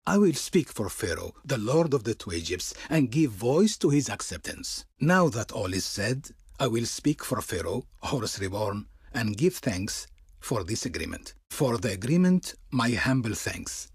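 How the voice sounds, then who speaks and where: An actor voicing a servile, ceremonious and pompous Egyptian diplomat in a theatrical video game style. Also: male voice, fairly low pitch, big pitch swings, unhurried, slightly rough, dry recording, studio quality, full character voice. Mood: grateful, ceremonious. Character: servile, smooth-tongued Egyptian diplomat of Pharaoh, pompously reverent.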